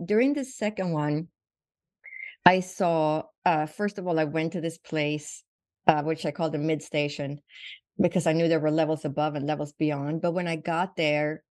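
A woman's voice: sits low, a little mellow, no room sound, studio quality. A woman in her sixties from Sweden, earnestly telling her life story as a podcast guest, often restarting and repeating words. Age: 60s. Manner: earnest, reflective, frequent restarts and repetitions. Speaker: guest physician. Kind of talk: conversational storytelling.

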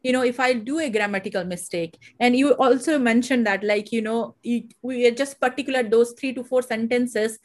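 Interview host, female, Indian accent, fairly high pitch, halting and full of restarts. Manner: hesitant, many fillers and restarts. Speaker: podcast host. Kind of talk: conversational interview.

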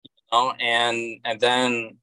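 A Brazilian man in his thirties speaks with a Brazilian Portuguese accent, a guest in a relaxed interview. His tone is bright and forceful, heard in a dry space without room echo. He stops and restarts his sentences often. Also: medium pitch, takes it slowly, smooth. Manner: hesitant, many repeated words and restarts. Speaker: guest, comic book creator. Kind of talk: casual interview chat.

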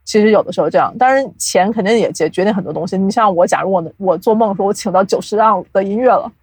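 A young adult woman chatting casually as an interview guest, explaining things from experience with animated storytelling and self-deprecating humour. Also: medium pitch, racing, breathy edge, dry recording. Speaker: podcast guest, audio drama producer.

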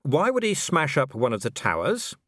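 A man voicing a gruff, exasperated middle-aged commander who talks bluntly and indignantly in an audiobook. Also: fairly high pitch, huge pitch swings, moderate pace, a bit bright, no room sound, studio quality, voice pushed up, heavily acted. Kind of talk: audiobook character voice.

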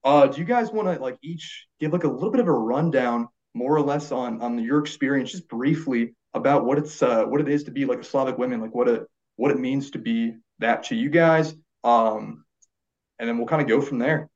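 A man co-hosting a podcast in casual chat, relaxed and rambling, with many fillers and restarts. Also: mid-range voice, brisk. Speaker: podcast co-host. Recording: dull lo-fi sound.

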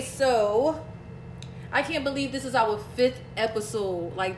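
Woman, late thirties, casual podcast co-host, fairly high voice, echoey room with faint hiss. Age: 30s. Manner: chatty, repeats herself, says "like" a lot.

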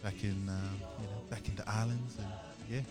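A low-voiced young man hosting a relaxed table talk, drifting into stories and chuckling as he goes. Music plays clearly underneath him. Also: moderate pace. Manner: relaxed, laughing storyteller. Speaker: podcast co-host. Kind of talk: casual chat.

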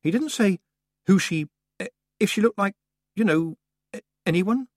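A man voicing a wry, sharp-tongued young witch girl. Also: medium pitch, big pitch swings, moderate pace, breathy edge, dry recording, clean studio sound. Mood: guarded.

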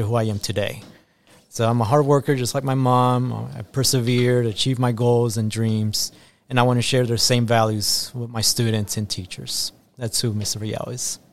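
A man from Ecuador, an assistant principal in his thirties, answering school podcast questions earnestly with frequent ums and repeated phrases. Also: medium pitch.

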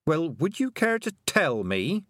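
A man's voice: on the high side, big pitch swings, measured pace, fairly bright tone, voice pushed up, heavily acted. A man voicing an earnest, anxious young girl who wants to be a witch, in an audiobook. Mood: questioning. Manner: pleading, questioning. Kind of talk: audiobook character voice.

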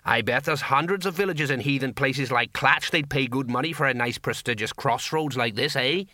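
A man voicing a big, self-important, slow-witted police sergeant in a storybook, with a ponderous, officious manner. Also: mid-range voice, pushed and bright, no echo, clean studio sound, natural voice. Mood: officious, pleased.

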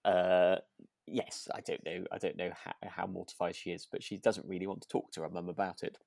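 A British man co-hosting a podcast in relaxed, casual chat, musing and often correcting himself as he goes. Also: low-pitched, a little fast, vocal fry, no echo, studio quality. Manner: hesitant, musing, self-correcting. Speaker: podcast co-host. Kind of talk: relaxed casual chat about a radio drama.

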